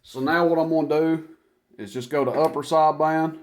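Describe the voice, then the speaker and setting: A man's voice: a little high, fairly even intonation, a little fast, slight background noise. A man talking in a folksy, plainspoken, unscripted way as he demonstrates gear he is selling. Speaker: product demonstrator, radio seller. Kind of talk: casual product demo.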